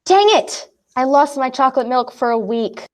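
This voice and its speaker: Worried, earnest kid played by a teenage girl, high-pitched, questioning, school radio play delivery. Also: expressive, normal speed. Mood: annoyed. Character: worried, questioning kid anxious about missing mother.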